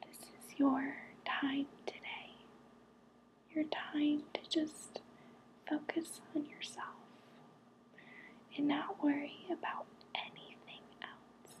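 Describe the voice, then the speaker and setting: A woman whispering softly and breathily close to the mic, guiding a relaxation session in a gentle, reassuring manner. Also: high voice, flat delivery, takes it slowly, long pauses, poor audio. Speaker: ASMR host guiding relaxation.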